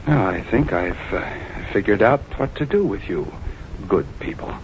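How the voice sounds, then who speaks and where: An actor playing a polite, calmly threatening fugitive killer in a 1940s radio drama. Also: male voice, on the low side, moderate pace, close to an everyday voice. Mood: calm menace. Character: soft-spoken, courteous, menacing fugitive male killer. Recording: muffled, noisy 1940s radio recording.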